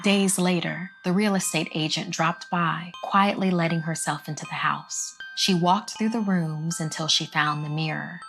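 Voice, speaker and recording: A woman with a fairly low voice narrates a scary story. She reads dramatically, breaking phrases for suspense, over a faint music bed. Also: fairly even intonation, normal speed, hardly any pauses, fairly bright tone. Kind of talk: dramatic scripted horror narration.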